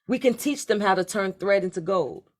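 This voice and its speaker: A woman's voice: medium pitch, lively intonation, moderate pace, pitched above the performer's own voice, lightly acted. A woman voicing a hopeful eldest brother in a storybook folktale, speaking earnestly and with emphasis. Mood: thoughtful.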